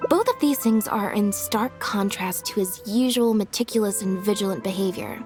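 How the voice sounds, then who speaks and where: Composed game detective, played by a woman, mid-pitched, measured and incisive, faint music behind. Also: darkish tone, lightly acted. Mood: calm deduction. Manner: measured, explanatory. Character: composed, sharply logical female detective exposing a scheme. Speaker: detective character. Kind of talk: video game story voice acting.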